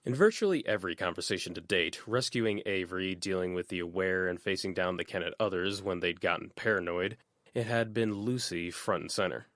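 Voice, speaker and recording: Low-voiced man narrating an audiobook. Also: brisk, a bit bright, no room sound, studio quality. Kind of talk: scripted narration of fiction.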